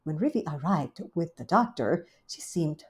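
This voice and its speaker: A woman reading a children's storybook aloud in an unhurried, gently expressive way. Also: low voice, breathy edge. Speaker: storybook reader.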